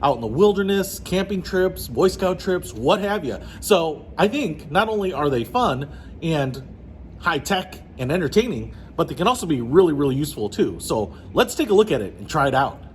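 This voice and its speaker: A man's voice: a little high, big pitch swings, moderate pace, a little hiss or hum. A man giving an upbeat, informal product review to camera as the host, with punchy, slangy phrasing.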